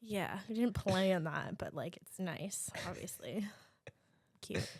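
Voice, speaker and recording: A woman in her thirties, a podcast guest, who teases the others in quick casual banter. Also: lowish voice, expressive, dry recording, studio quality. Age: 30s. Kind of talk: casual chat.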